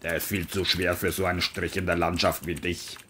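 A narrator voicing a burly middle-aged male carpet dealer in an audio crime drama, gruff, taunting and smug. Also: deep voice, level tone, brisk, sharp tone, a little hiss or hum, voice pushed down. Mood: mocking.

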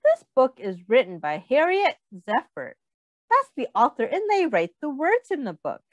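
A young adult woman reads a picture book aloud to young children as a teacher, explaining things and putting questions to the listener in a deliberate way. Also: high-pitched, huge pitch swings, no room sound, studio quality.

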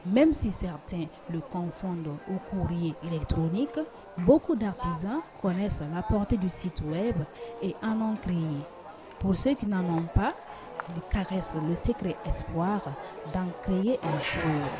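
Lady reporter doing voice-over, lowish voice, formal read, music under it. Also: animated. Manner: measured, formal reading. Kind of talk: scripted news voice-over. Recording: music bed behind.

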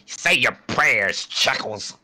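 A man voicing a big, angry tavern keeper in a comic dub, gruff and blustering as he barks threats. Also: medium pitch, animated, slow, bright, forceful tone, voice pushed up, heavily acted. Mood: angry, threatening.

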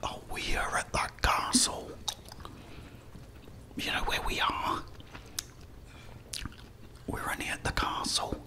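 A middle-aged British man whispering a hushed ASMR commentary as he plays a video game. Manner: hushed, slow running commentary.

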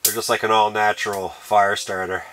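A middle-aged man talking casually to camera while winter camping in a canvas tent, relaxed and laughing at himself. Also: on the low side, moderate pace, a little hiss or hum, rough recording. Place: inside a canvas hot tent with a wood stove, in snowy woods.

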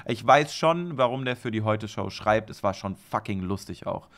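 A man's voice: fairly low pitch, expressive, moderate pace, fairly bright tone. A young man co-hosting a podcast in casual chat, rambling with lots of fillers, swearing often and laughing easily. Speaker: podcast co-host.

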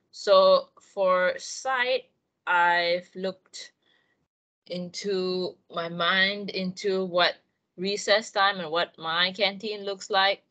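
A woman's voice: on the low side, pushed and bright. A young woman with a Malaysian accent giving an encouraging online English writing lesson, speaking directly to her students.

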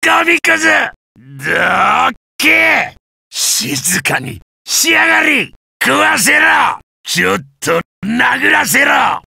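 Casting: sadistic giant golem brute for a fighting game, harsh forceful shouting, coarse menacing taunts. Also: male voice, very high voice, sing-song, slow, no echo, clean studio sound, heavily acted. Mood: savage shouting. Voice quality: gravelly, rough roar. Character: brutal, sadistic giant golem warrior with a bullying temper. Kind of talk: video game battle voice lines.

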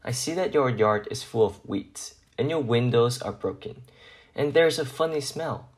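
A man voicing an eager, hopeful homeless puppy in a children's picture book, pleading and expressive. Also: mid-range voice, moderate pace, natural voice. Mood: forlorn, pleading.

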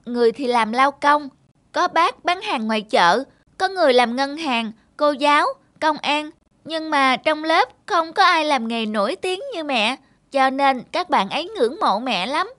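A woman's voice: high-pitched, lively intonation, moderate pace, sharp tone, no echo. A woman voicing a proud, boastful little schoolgirl in a cartoon, childlike and eager. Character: proud, boastful little schoolgirl idolizing her famous mother. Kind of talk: animated moral-tale dubbing. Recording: music behind the voice.

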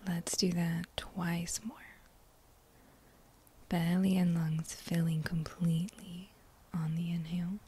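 A woman guiding a meditation in a breathy whisper, gentle and soothing, with long unhurried pauses between phrases. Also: fairly low pitch, level tone. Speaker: meditation guide. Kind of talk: guided meditation, whispered soft-spoken.

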